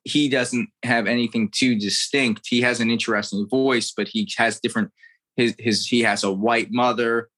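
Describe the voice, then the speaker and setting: A man's voice: medium pitch, fairly even intonation, normal speed, fairly bright tone. A man in his thirties from the United States, an impressionist telling his story in an earnest, rambling way. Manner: earnest, rambling, repeats words. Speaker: impressionist guest. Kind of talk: interview storytelling.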